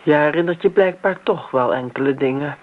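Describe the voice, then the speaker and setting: An actress playing a wounded, sardonic grown daughter in a radio drama, speaking pointedly. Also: female voice, low-pitched, brisk. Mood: reproachful. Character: reproachful, wounded grown daughter defending her mother. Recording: thin, phone-like old radio recording.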